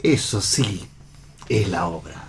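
An actor playing a fussy, pedantic prompter in a radio play, with a theatrical manner. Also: male voice, sits low, lively intonation, takes it slowly, a little hiss or hum, full character voice.

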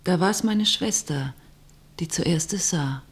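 A woman playing a wary, fearful working-class sister, narrating and speaking in measured rhymed verse in a radio drama. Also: lowish voice, breathy edge. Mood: grave narrating. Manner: declamatory, measured verse delivery.

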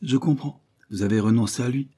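Un homme jouant une jeune bonne ferme. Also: male voice, mid-range voice, mellow, slightly rough, voice pushed up, lightly acted. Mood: firm. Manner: firm, measured questioning. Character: pale, firm young nursemaid.

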